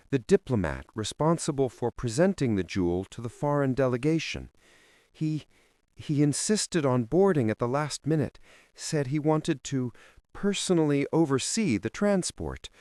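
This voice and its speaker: A man's voice: mid-range voice, sing-song, a little mellow, dry recording, clean studio sound, pitched above the performer's own voice. A man voicing a nervous, guilt-ridden woman in her twenties in a mystery story, speaking hesitantly. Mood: uneasy suspicion. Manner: hesitant, halting.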